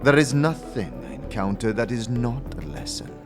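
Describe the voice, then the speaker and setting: A man's voice: medium pitch, lively intonation, moderate pace, over music, lightly acted. A man playing a solemn, grand philosopher-mentor in a fantasy audio drama, with a formal, ceremonious, swelling delivery. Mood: ceremonial.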